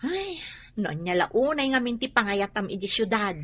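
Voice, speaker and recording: A woman's voice: medium pitch, sing-song, normal speed, fairly bright tone. An actress in a radio drama playing a cautious, reluctant young rural woman with a Filipino accent. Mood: hesitant.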